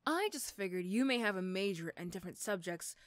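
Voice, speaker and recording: A woman's voice: mid-range voice, expressive, brisk, dry recording, lightly acted. A woman playing a mean but grudgingly sympathetic supervillain in an ASMR roleplay, with a dry, teasing, condescending manner. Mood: irritated, condescending. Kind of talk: ASMR roleplay character acting.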